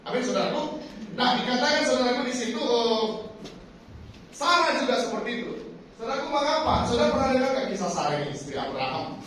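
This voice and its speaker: A man preaching a sermon, animated and constantly addressing the congregation directly. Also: extremely high pitch, fast talker. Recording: echoey hall, speaker far from the mic, faint noise.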